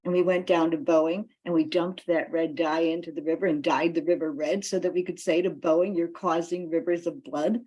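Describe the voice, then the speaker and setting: A woman with a fairly low voice speaks as an activist addressing a meeting. She builds her points in deliberate, broken phrases, leaning firmly on key words. The delivery is informal, like a campaign talk given without a script. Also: steady tone, darkish tone, husky, rough recording. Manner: deliberate, halting phrases with emphatic stresses.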